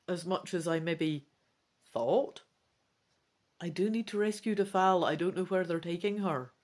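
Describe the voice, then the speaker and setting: A woman reading a gamebook aloud dramatically and expressively, with casual commentary as she plays. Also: mid-range voice. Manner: theatrical, expressive. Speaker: gamebook reader and player.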